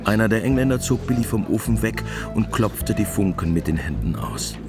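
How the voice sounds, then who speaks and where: Low-voiced man narrating over music. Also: lively intonation, a little fast. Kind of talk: scripted literary audio drama narration.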